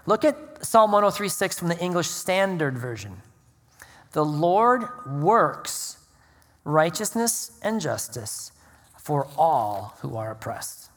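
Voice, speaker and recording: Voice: medium pitch, sing-song, takes it slowly, fairly bright tone. Preacher with long hall reverb. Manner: earnest preaching with prayer and scripture reading. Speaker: pastor. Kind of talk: sermon. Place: church sanctuary.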